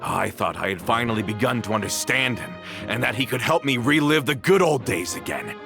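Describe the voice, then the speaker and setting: A bitter, vengeful man in a dramatic game scene. His gravelly voice swings widely in pitch as he accuses, leaning theatrically on each phrase. Music plays underneath. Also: expressive, fairly quick. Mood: bitter reminiscence. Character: bitter, vengeful grown man, embittered former mafia member. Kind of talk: dramatic video game voice acting.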